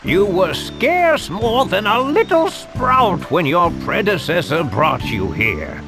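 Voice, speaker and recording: A man's voice: high voice, big pitch swings, slow pace, vocal fry, full character voice. A man voicing a kindly, teasing, ancient sage with old-fashioned, grandfatherly speech in a video game. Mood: teasing, fond. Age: older. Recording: game music behind voice. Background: music.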